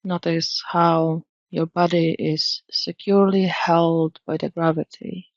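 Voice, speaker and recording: A woman leads a guided meditation at a very unhurried pace. Her voice has a noticeable creak and a gentle, soothing delivery. The recording is dry and close, with a slightly muffled tone. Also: fairly low pitch, flat delivery, no room sound. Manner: soothing, gentle, measured instruction.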